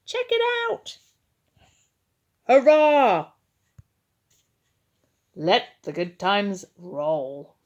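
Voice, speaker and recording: A woman's voice: mid-range voice, wildly swooping pitch, very slow, long pauses, lo-fi sound, exaggerated voice. A woman voicing cheeky, gleeful little mice in a children's story, with an exclamatory, cheering manner.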